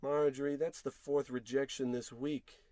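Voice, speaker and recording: A man's voice: medium pitch, flat delivery, moderate pace, warm tone, no echo, lightly acted. A man playing a frustrated, self-pitying unemployed husband in an old-time radio comedy, wordy, sarcastic and theatrical. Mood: bitter, dejected. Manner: wordy, indignant, sarcastic rambling.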